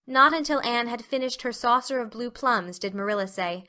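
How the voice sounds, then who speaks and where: A woman's voice: on the high side, normal speed, pushed and bright. A woman reading scripted literary narration as the narrator of an audiobook.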